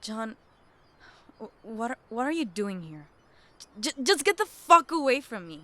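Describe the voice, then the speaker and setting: Casting: timid, troubled young wife played by a woman, higher-pitched, halting and slow, audio drama. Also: sing-song, bright, forceful tone, no room sound, exaggerated voice. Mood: frightened, hostile. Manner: hesitant, halting. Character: John's distressed, timid wife, also as a teenage girl.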